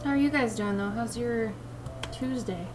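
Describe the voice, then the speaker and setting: A young woman with a raspy voice, chatting in a chill, laid-back way with viewers while drawing on a livestream, sniffing now and then. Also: a little high, moderate pace, distant mic, over music. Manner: chill, relaxed, sniffing often. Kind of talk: casual chat with stream viewers while drawing. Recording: steady background noise.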